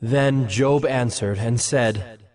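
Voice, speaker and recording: A man's voice: mid-range voice, slow pace, darkish tone. A man narrating scripture in a scripted, announcing style.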